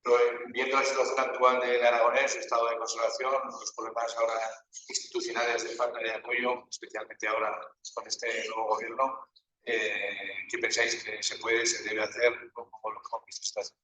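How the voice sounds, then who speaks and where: A man moderating a session, putting a question to the panel in a measured, slightly halting way. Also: mid-range voice, flat delivery, sharp tone. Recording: echoey room, distant mic.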